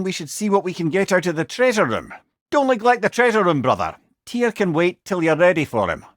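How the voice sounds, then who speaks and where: A man with a Scottish accent playing a witty, loyal older advisor in a video game, speaking in an expressive, wry, folksy way. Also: high voice, no echo, clean studio sound. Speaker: game companion character.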